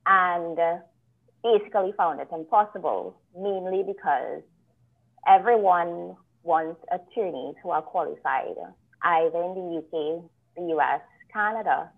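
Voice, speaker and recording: A woman from Trinidad, a lawyer guest telling her story in a measured, reflective way over a remote call. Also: on the low side, vocal fry. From Trinidad and Tobago. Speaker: guest, attorney. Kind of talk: conversational interview. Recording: tinny phone-like call line.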